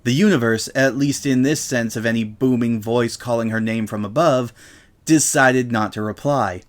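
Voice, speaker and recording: A man's voice: mid-range voice, normal speed. Man narrating a comedic story. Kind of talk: dramatic reading of comedic fiction.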